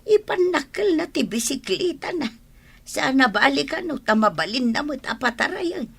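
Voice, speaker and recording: A woman playing an exasperated old village grandmother with a husky voice, scolding in an Ilocano radio comedy drama. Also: sits high, very animated, normal speed, fairly bright tone, exaggerated voice. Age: older.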